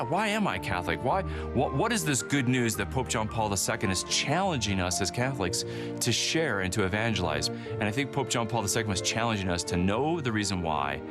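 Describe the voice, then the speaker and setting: A man with a fairly low voice speaks earnestly and conversationally, like someone making a case for a cause. Loud guitar-backed music plays behind him throughout. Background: prominent instrumental music with guitar.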